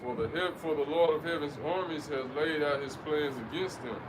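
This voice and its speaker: A man reading scripture aloud outdoors in a steady, measured way. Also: fairly high pitch, far from the mic. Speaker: scripture reader. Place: outdoors by a street. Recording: off-mic, street traffic noise. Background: passing vehicles.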